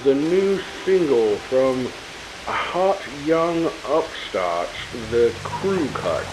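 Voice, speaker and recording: Man acting a very slow radio disc jockey. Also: mid-range voice, sing-song, background noise. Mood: drawling. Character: halting 1950s male radio disc jockey. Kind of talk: radio announcing in a drama. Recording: music and rain sounds behind.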